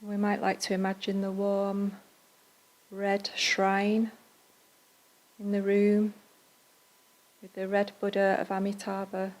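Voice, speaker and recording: Even-toned woman guiding a meditation. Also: medium pitch, slow pace, long pauses, rough recording. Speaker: meditation leader. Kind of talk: guided Buddhist loving-kindness meditation.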